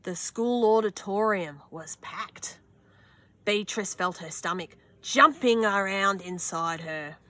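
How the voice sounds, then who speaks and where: A young woman reading a children's picture book aloud outdoors, slow and expressive, with gasps and little asides. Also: mid-range voice. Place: outdoors on a sunny wooden deck.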